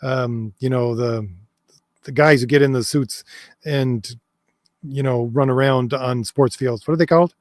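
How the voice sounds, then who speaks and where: A man's voice: mid-range voice, steady tone, measured pace, no echo, clean studio sound. A man hosting a long chat stream, hesitant and full of fillers, who says he is losing his voice. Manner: hesitant, many fillers and restarts, reads comments aloud. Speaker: host answering viewer comments. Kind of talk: casual livestream chat.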